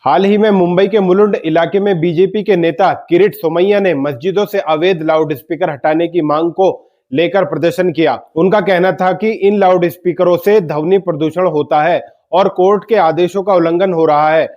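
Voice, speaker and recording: A man's voice: sits high, steady tone, normal speed, lo-fi sound. A man hosting a news commentary, delivering scripted political remarks in an emphatic way and putting rhetorical questions to his viewers. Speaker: news commentator and channel host.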